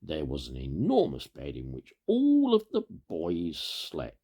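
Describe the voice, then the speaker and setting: A man reading a children's story aloud in an animated way, with informal asides and a gravelly, husky voice. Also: very deep voice, measured pace. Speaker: storybook reader.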